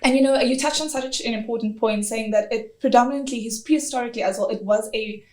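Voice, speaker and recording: A woman's voice: a little high, quick pace, a little mellow. A woman hosting an interview, enthusiastic and affirming as she asks her questions. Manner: enthusiastic, affirming, laughs often. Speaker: interview host. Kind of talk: interview chat.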